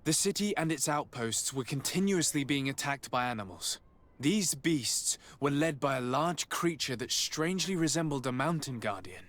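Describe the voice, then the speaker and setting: A man voicing an earnest, principled noble envoy in a video game, speaking in a measured, formal, serious way. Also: medium pitch, animated, fairly bright tone, natural voice. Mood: serious, reporting. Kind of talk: dramatic video game acting.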